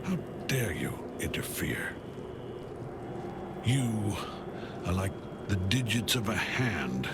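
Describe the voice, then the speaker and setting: An actor playing an older, commanding villain general in a video game, wrathful and domineering, with an imperious theatrical delivery. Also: male voice, fairly low pitch, very animated, takes it slowly, creaky, long pauses, very noisy, heavily acted. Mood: contemptuous fury. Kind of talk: dramatic video game acting. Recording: loud music behind the voice. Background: loud dramatic game music.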